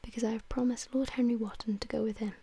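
A woman voicing an eager, impressionable young gentleman in an audiobook, in a breathy whisper. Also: fairly high pitch, steady tone, fast talker. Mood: apologetic.

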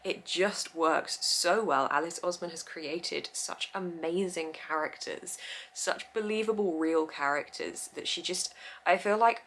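Chatty young woman with gravelly edges. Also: on the low side, a little slow, fairly bright tone. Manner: chatty and upbeat, laughs often. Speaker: book vlogger. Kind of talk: casual chat to camera. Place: home room with full bookshelves.

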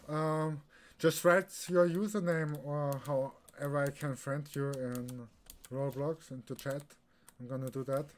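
A young man from Austria, a native German speaker with an Austrian accent, giving casual live commentary as he streams a game. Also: medium pitch. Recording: clear keyboard and background noise.

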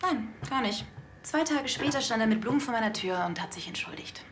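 A woman's voice: a little high, animated, a little fast, fairly bright tone, a little hiss or hum. An actress playing a lovelorn, indignant woman in a naturalistic TV crime drama. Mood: defensive. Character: indignant, lovelorn woman recalling an old flame.

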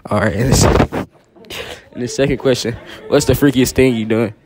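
A man's voice: medium pitch, normal speed, very noisy. A teenage male student doing casual, slangy street-style interviews at school. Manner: slangy, informal. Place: high school classrooms and cafeteria. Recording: busy crowd chatter behind.

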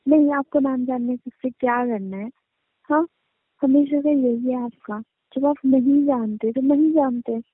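A woman's voice: high voice, measured pace, no room sound. A young woman playing a coy, touchy girlfriend in a conversational couple's phone-call drama. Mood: defensive. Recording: thin, phone-like call audio.

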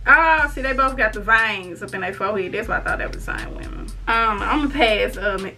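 A woman in her twenties chatting casually on camera in her bedroom, teasing and animated as she scrolls her phone. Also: mid-range voice, fairly quick, soft background music. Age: 20s. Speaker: YouTuber. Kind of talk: casual reaction vlog. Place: bedroom, indoors.

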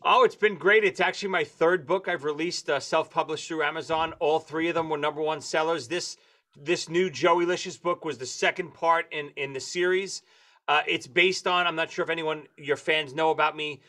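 Animated Brooklyn man with a New York accent. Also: a little high, normal speed. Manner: lively, animated storyteller. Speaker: guest drummer and author. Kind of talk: casual interview chat. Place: home room with lights and posters.

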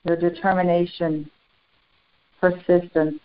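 Slow, phone-muffled woman guiding a meditation. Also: on the low side, flat delivery, clean tone. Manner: slow, deliberate, short phrases with long pauses; solemn, formal, ceremonial wording. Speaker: spiritual channeler and meditation guide. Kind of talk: guided meditation, channeled spiritual message. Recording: muffled, phone-like narrow band.

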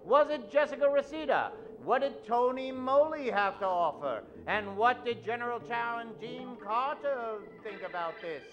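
A man's voice: very high-pitched, sharp tone, poor audio. A man in his forties hosting and narrating in a theatrical old-time radio style on a stage. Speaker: host and narrator. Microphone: vintage-style stand microphone.

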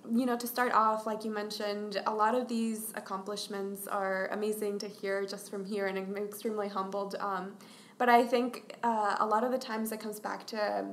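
A young woman in her twenties, originally from Mexico, speaking earnestly and in measured phrases, with frequent ums, as a podcast interview guest. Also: mid-range voice, roomy sound. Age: 20s.

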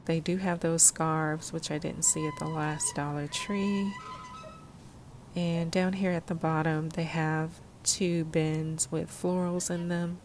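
Female shopping vlogger, low register, casual descriptive delivery, faint background noise of a store. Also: flat delivery, slow pace, a little mellow. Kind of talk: casual shop-with-me narration.

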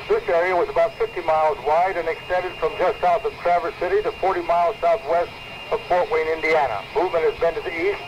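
A man's voice: on the high side, fairly even intonation, quick pace, long pauses. A man reading a scripted weather summary as a radio announcer, formal and matter-of-fact. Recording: muffled, noisy 1970s weather radio recording.

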